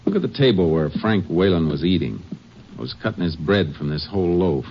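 An actor playing a calm, methodical Texas Ranger in a 1950s western radio drama, American, asking measured, matter-of-fact questions. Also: male voice, sits low, husky, exaggerated voice. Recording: muffled old radio recording with noise.

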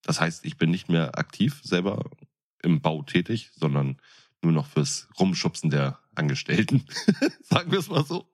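Deep-voiced man in casual chat. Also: very animated, moderate pace. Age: 30s. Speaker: podcast co-host.

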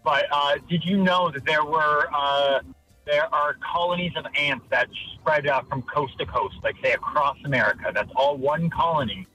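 A man's voice: on the high side, normal speed, pushed and bright, highs cut off, faint music behind. A man leaving a casual, enthusiastic voice message for a radio show as a listener.

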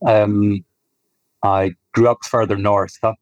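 Casting: Highland Scots doctor in his forties, lowish voice, measured delivery, dry close mic. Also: level tone, no room sound, muffled recording. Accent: soft Highland Scottish. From Scotland. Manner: measured, with hesitant fillers. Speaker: guest cosmetic physician. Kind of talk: podcast interview.

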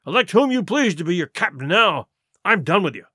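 A man's voice: high-pitched, sing-song, a little fast, bright, forceful tone, slightly rough, no echo, clean studio sound, exaggerated voice. A man voicing a sly, domineering pirate sea cook in an audiobook, with blustering, derisive, rustic seafaring talk. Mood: indignant. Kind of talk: audiobook character voice.

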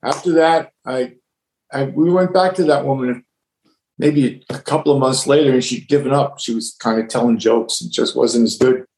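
A guest from the United States tells his story in a relaxed, halting way, with plenty of restarts and a ready laugh. His voice sits at an average male pitch. The room around him is echoey. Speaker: guest, laughter yoga leader. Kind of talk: casual conversation. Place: home with household noises.

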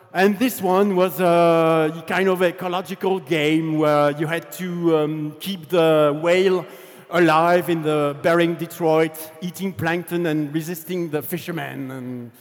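A man with a French accent tells his story from a stage in a loose, chatty way, dotted with fillers. His voice sits high. The sound rings out with a long reverb from a large hall. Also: a little slow, faint music behind. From France. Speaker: game developer giving a talk. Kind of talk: conference presentation.

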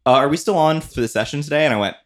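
A man's voice: a little high, very fast. A man, a songwriter, telling a casual anecdote in a laid-back way with easy laughs.

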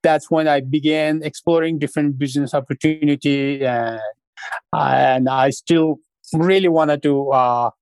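Middle-aged guy from nepal, halting, fillers everywhere, dead dry sound. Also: on the high side, steady tone, a little mellow, clean tone, no room sound. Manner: unhurried, halting, with many fillers. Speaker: guest, restaurant founder. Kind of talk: casual interview storytelling.

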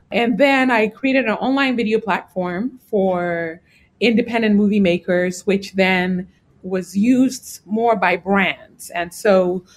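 A woman guest in an interview, calmly explaining her work in a measured way. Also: medium pitch. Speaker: podcast guest. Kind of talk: interview answers.

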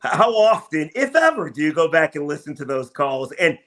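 A man's voice: a little high, big pitch swings, brisk, a bit bright. An American man hosting a sports talk radio interview, asking questions in an emphatic, effusive, stop-start way.

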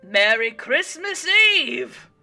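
A woman's voice: sits high, big pitch swings, drawn-out words, gravelly, pushed and bright, soft background music, pitched above the performer's own voice, full character voice. A woman voicing a young waitress who is prim and disapproving, hesitant at first and then indignant. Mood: chirpy.

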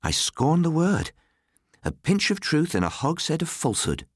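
A man's voice: fairly high pitch, huge pitch swings, normal speed, dry recording, clean studio sound, voice pushed up. A man voicing a gossiping, pious household woman with an emphatic, folksy way of talking, in a storybook reading. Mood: indignant gossip.

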